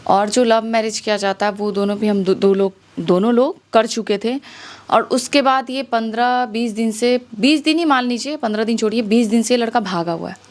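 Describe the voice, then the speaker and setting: High-voiced Bihari woman, indignant and challenging. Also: normal speed. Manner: indignant, challenging, rhetorical questions. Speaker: interview guest, actress. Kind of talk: conversational interview testimony.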